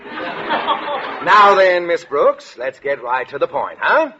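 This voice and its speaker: A blustering male school principal in a vintage radio comedy. His voice is bright and forceful, with very animated, accusatory inflection. The old recording is dull and boxy. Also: sits high, slow pace, husky, full character voice. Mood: stern, probing. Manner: pompous, accusatory, exclamatory. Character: pompous, suspicious, blustering new school principal. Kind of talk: 1940s radio comedy acting.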